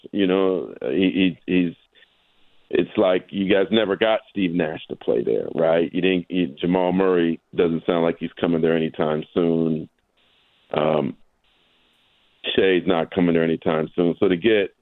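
A man talking basketball casually as a sportswriter guest, haltingly, repeating words and tagging his points with "right?". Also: sits low, darkish tone, dry recording, low-quality recording.

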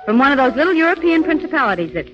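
Brisk American female reporter, high voice, 1940s radio crime serial, muffled old broadcast. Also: very animated, music in the background. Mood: explaining. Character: brisk, eager American woman newspaper reporter.

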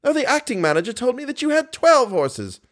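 A man's voice: very high voice, sing-song, fairly quick, a bit bright, voice pushed up, full character voice. A man voicing a hot-tempered, sarcastic opera manager in a story, full of incredulous exclamations. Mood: irritated.

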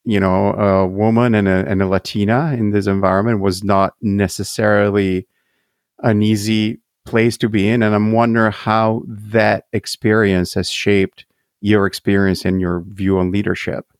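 A deep-voiced male interviewer with a smooth, resonant tone, speaking flatly and evenly in a dry, close recording. He asks his questions calmly, in a conversational way. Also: moderate pace, dry recording.

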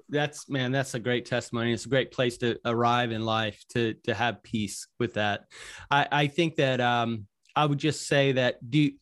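A man hosting a faith-based interview, asking thoughtful, searching questions with frequent restarts. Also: mid-range voice. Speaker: podcast host.

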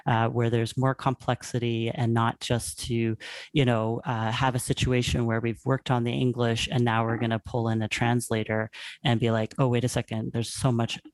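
A man's voice: mid-range voice, level tone, moderate pace, no echo. A man from Canada speaking as a panel guest, earnest and thoughtful, with frequent fillers. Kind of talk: casual panel discussion.

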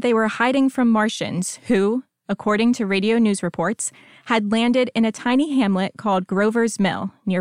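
Clear, smooth woman narrating, dry studio sound. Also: medium pitch, no room sound. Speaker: podcast introduction narrator. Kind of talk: scripted narration.

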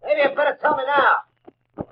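A man's voice: very high voice, steady tone, a little slow, a little hiss or hum. A big, wary outlaw in a 1950s radio western. He calls out in a suspicious, challenging way from some distance. The old recording is muffled. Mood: wary, threatening.

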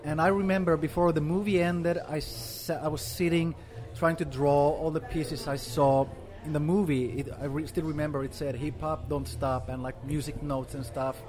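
A man in his forties, from Finland and raised in Sweden, talks through his memories in a slightly raised voice, pausing often on fillers. The chatter of a crowd carries clearly behind him. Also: on the high side, fairly even intonation. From Finland, grew up in Sweden. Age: 40s. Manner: hesitant, many fillers. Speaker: guest artist. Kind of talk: casual interview chat.

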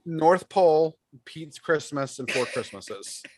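A man's voice: on the high side, expressive, slow pace, very noisy. A man talking casually as a panelist on a podcast.

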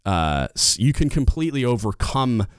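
A man with a loud, booming voice giving casual leadership advice as a podcast host, getting louder when fired up. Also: sing-song, moderate pace, smooth, no room sound, clean studio sound. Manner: gets louder when fired up, rambling restarts.

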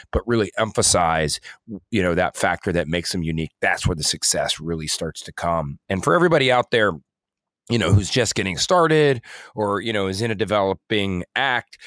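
A man with a deep voice co-hosts a casual discussion podcast. He explains his points earnestly, in the way of an advisor, and leans on "you know" as he goes. The sound is close and dry, with very little room to it. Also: a bit bright, no room sound. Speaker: podcast co-host and music artist manager.

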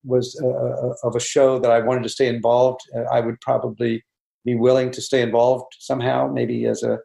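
Gravelly man with halting speech, echoey room. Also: mid-range voice, level tone, dark tone. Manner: halting, repeats words, many fillers. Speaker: guest director. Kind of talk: casual video-call chat.

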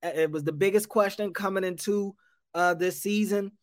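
Measured man sports reporter. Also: high-pitched, fairly even intonation, dry recording, studio quality. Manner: measured, stacks up points with restarts. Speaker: sports radio host and beat reporter. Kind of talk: casual sports analysis.

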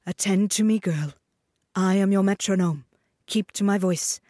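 A woman voicing possessive enchanted shoes that call themselves a dancing master, smooth and commanding in a storybook reading. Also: medium pitch, normal speed, mellow, no room sound, studio quality, lightly acted. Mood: instructing.

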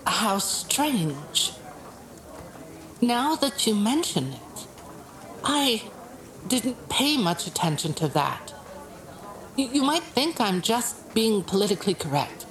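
Slow, hesitant crime witness. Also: female voice, medium pitch, very animated, poor audio. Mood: puzzled. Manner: halting, uncertain. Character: hesitant, puzzled female mugging victim giving testimony. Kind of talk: audio drama acting.

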